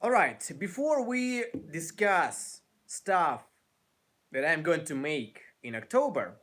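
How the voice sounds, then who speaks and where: Lively young man with a Ukrainian accent. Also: fairly high pitch, slow. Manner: animated, gestures a lot, restarts often. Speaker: guitar YouTuber. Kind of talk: casual live stream chat. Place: small room by a brick wall, indoors.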